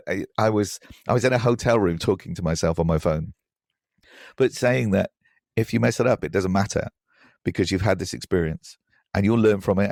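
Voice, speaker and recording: Man, deep voice, dry close sound, thoughtful, hesitant, reflective podcast guest. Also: creaky, darkish tone, dry recording. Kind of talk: reflective interview conversation, personal anecdotes.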